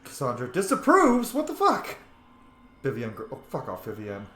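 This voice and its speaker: A man's voice: mid-range voice, huge pitch swings, moderate pace, pushed and bright. A man playing a video game and commenting on it casually, chatty and opinionated. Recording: echoey room, faint background noise.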